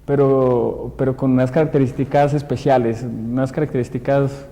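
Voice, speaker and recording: A man's voice: mid-range voice, fairly even intonation, normal speed, dark tone, long reverb tail. A man answering an interview in a casual, hesitant way, often repeating words.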